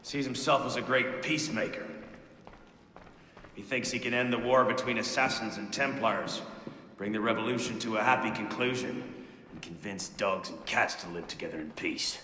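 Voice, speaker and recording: A man playing a cynical, gruff veteran assassin in a video game, blunt and sardonic. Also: fairly low pitch, normal speed, pushed and bright. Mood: scornful. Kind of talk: dramatic video game dialogue. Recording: roomy echo, faint noise.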